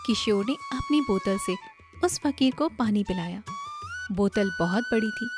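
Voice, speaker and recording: A woman's voice: medium pitch, animated, unhurried. A woman narrating a scripted story. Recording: prominent music bed under narration.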